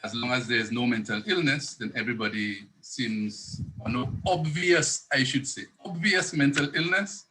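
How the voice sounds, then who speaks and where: A man's voice: mid-range voice, moderate pace, few pauses, bright, forceful tone, slight background noise, poor audio. A man, a psychiatrist explaining mental health in an interview and earnestly rephrasing his points as he goes. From Saint Lucia. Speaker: psychiatrist guest.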